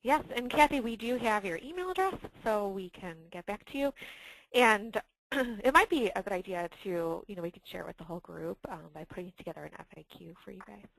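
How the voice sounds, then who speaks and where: Woman hosting a webinar, mid pitch, kinda halting and informal, very dry audio. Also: vocal fry, a bit bright, no room sound, rough recording. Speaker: webinar host and moderator.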